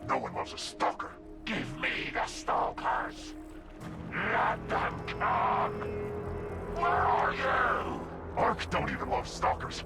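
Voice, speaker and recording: A man voicing a savage, boastful ghoul brute in a video game, ranting and taunting in harsh, creaky broken speech. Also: a little high, big pitch swings, takes it slowly, long pauses, over music, full character voice. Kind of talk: video game character acting.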